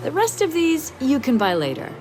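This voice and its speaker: An actress playing a confident, brisk racing team boss in a video game, self-assured and wry, with a mentoring manner. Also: female voice, high voice, huge pitch swings, background noise. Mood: informative, wry. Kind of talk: video game cutscene acting.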